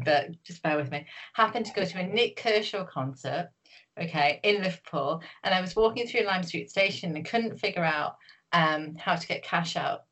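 A woman's voice: on the low side, fairly bright tone. A woman from Newcastle, an author guest talking casually in an interview, rambling with many fillers and restarts. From Newcastle, England.

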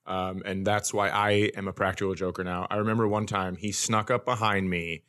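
A man with a slightly low voice banters on a casual comedy podcast. He riffs wryly and slips in mock-sincere asides as a co-host. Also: fairly even intonation, fairly quick, fairly bright tone.

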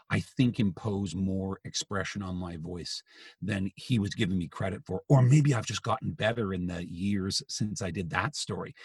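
A man with a gravelly, raspy voice, a journalist chatting as a guest and telling stories with frequent restarts. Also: on the low side, no echo. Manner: chatty storyteller, restarts sentences. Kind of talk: casual podcast conversation.

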